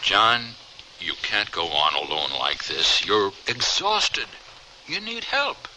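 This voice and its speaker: An actor playing a reasonable, loyal scientist in a radio drama, speaking in a measured, earnest way with urgent appeals. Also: male voice, fairly low pitch, wildly swooping pitch, sharp tone, slight background noise, exaggerated voice, poor audio. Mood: earnest, persuading. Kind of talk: old-time radio drama acting.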